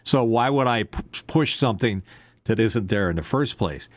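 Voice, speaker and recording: A man's voice: expressive, normal speed, dry recording. A man co-hosting a casual talk show about radio technology, rambling and poking fun at himself. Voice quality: deep voice with strong upper mids. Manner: loose, rambling, self-deprecating joker. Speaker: co-host.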